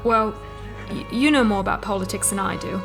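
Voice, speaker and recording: Actress as humble fantasy woman, caring, folksy, mid-pitched, with faint music beneath. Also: a little fast, creaky, lightly acted. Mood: tender, unsure. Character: plain-spoken, caring common woman of fantasy realm. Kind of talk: fantasy audio drama acting.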